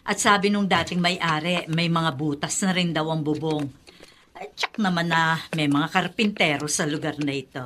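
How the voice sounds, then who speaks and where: A woman's voice: deep voice, normal speed, noisy background, close to an everyday voice. A woman playing a kindly, practical older Filipino aunt in a radio drama. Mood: practical, encouraging.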